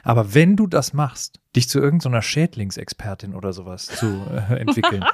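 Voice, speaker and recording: A man's voice: medium pitch, very animated, brisk, no echo, studio quality. A man co-hosting a casual podcast, talking in a playful and explanatory way. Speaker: podcast co-host.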